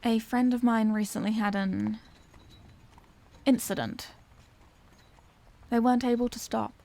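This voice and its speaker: A woman's voice: fairly high pitch, steady tone, measured pace, creaky, mellow. A woman voicing an anguished, tender Victorian lady vampire in an intimate audio roleplay, speaking in formal, earnest phrases. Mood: distressed, pleading. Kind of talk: scripted audio roleplay, intimate dramatic monologue.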